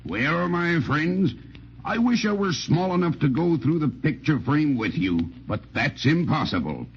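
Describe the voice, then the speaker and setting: An actor playing a kindly, folksy, gentle giant in a 1930s children's radio serial. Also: male voice, a little high, big pitch swings, a little slow. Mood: cheerful. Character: friendly, chuckling, lonesome gentle giant. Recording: dull, hissy 1930s radio recording.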